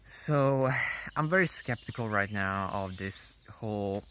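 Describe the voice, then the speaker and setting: A man giving a casual, unscripted opinion monologue in a rambling, halting way, often repeating his phrases. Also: fairly low pitch, lively intonation, fairly bright tone, a little hiss or hum. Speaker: vlogger.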